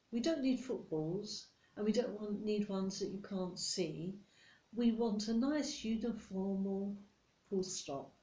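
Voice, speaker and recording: A controlling, nitpicking British office boss acted by a woman in a soft-spoken ASMR roleplay. She scolds politely and with condescension, taking her time in a mellow voice. The room adds a noticeable echo. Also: medium pitch, natural voice. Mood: fussy, nitpicking.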